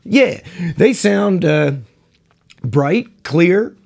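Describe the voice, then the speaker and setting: A man's voice: high voice, expressive, drawn-out words, creaky. A man in his forties, stuffed up and congested, chatting casually and rambling about guitar strings in his home music room on a lapel mic. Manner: rambling, folksy, hesitant restarts. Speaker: guitar reviewer. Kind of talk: casual product review chat.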